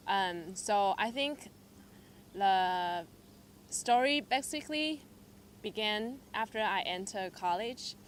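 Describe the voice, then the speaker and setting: Young woman from taiwan, english speaker, personal testimony, lots of ums and likes, slight background noise. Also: medium pitch. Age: young adult. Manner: hesitant, filler-heavy storytelling with lively quoted asides. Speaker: church member sharing testimony.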